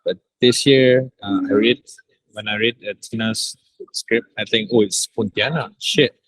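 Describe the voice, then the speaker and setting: A man, recorded very dry. He talks casually as an interviewed director, in halting phrases, repeating words for emphasis. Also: on the low side, fairly even intonation, a bit bright, no room sound, low-quality recording. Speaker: director interviewed about an audio drama.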